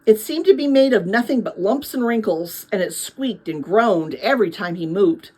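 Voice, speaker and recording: A woman's voice: mid-range voice, animated, moderate pace, dark tone, slightly rough, low-quality recording. A woman narrating a children's novel as a read-aloud. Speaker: audiobook reader.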